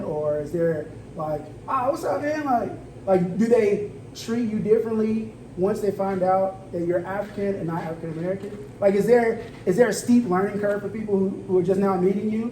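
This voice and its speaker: A laid-back male host with a high, mellow voice asks his guests questions. He sounds distant from the mic in an echoey room. Faint background noise sits under his voice. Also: moderate pace. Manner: laid-back, frequent affirmations. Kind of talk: casual discussion.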